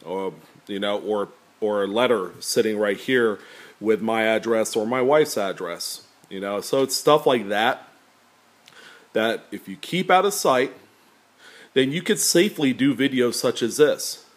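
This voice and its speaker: A man with a deep, slightly nasal voice talking casually to camera about his coin collection, rambling with many fillers. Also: measured pace. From California. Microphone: camera pointed at table, speaker off screen.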